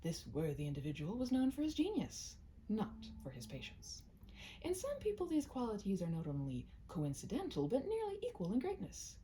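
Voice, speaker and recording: A woman's voice: medium pitch, huge pitch swings, moderate pace, dark tone, a little hiss or hum. A woman speaking as a storyteller in a cozy, intimate one-to-one style, chatty and a little self-conscious. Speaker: storyteller, host reading own short stories. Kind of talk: cozy roleplay chat to listener, then story read-aloud.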